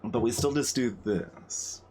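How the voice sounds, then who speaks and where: A man's voice: mid-range voice, slow, a little mellow. A man giving casual commentary on a game playthrough, musing and thinking aloud as he goes.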